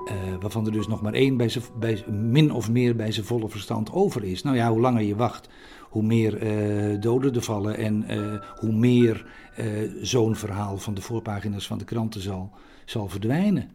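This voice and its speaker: A man speaking haltingly and hesitantly as a documentary interviewee. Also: lowish voice, steady tone, dark tone. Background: faint music.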